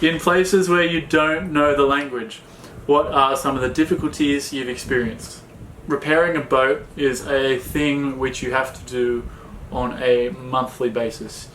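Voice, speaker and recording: An Australian man co-hosting a sailing chat, talking in a relaxed, plain way with many fillers. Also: medium pitch, a little slow, few pauses, echoey room, slight background noise, rough recording. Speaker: sailing vlog co-host. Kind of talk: casual Q&A chat.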